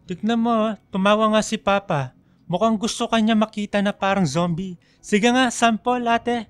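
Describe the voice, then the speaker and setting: An actor playing a cheeky, teasing younger brother in a radio drama. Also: male voice, high voice, moderate pace, clean tone, dry recording, close to an everyday voice. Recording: music behind the voice.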